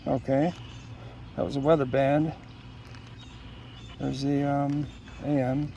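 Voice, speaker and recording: Male unboxer, casual and halting, creaky mid-pitched voice, clear noise behind. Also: flat delivery, muffled recording. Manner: unscripted, halting, thinking aloud. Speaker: product unboxer.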